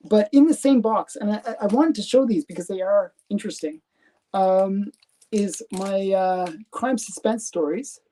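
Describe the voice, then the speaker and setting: A Canadian woman with a Canadian accent hosting a live stream, talking in a rambling, halting way with lots of fillers and restarts. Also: mid-range voice, mellow. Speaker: comic collector host. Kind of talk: casual live stream show-and-tell.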